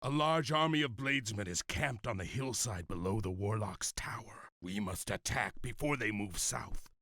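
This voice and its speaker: An actor playing a hot-headed samurai warrior with a forceful, urgent manner in a video game cutscene. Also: male voice, on the low side, moderate pace, no echo, studio quality.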